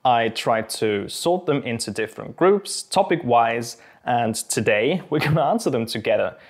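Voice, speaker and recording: A young Austrian man speaks English with a British-tinged accent over his native German, at a medium pitch. He answers viewer questions in a friendly, thoughtful way, pausing often with small ums. It sounds like a relaxed chat from a channel host. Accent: Austrian German mixed with British English. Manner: friendly, thoughtful, a little hesitant with frequent ums. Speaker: channel host and fencing instructor. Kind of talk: casual Q&A to camera.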